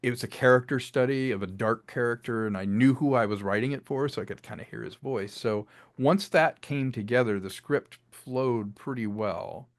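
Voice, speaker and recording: A man's voice: mid-range voice, normal speed, few pauses, dry recording. A director and writer in a casual interview, talking about his audio drama and slipping into voiced quotes. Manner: animated, slips into voiced quotes, laughs between thoughts.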